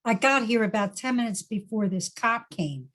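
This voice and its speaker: A woman's voice: fairly high pitch, lively intonation, moderate pace, fairly bright tone. A woman playing a worried, exasperated friend in a drama table read, speaking urgently. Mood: anxious. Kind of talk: screenplay table read acting.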